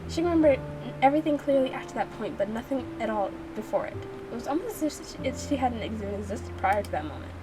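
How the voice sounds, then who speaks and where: A woman's voice: a little high, animated, fast talker, poor audio. A woman hosting a game playthrough, narrating it and adding playful, slangy, casual reactions. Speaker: gaming channel host. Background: background music.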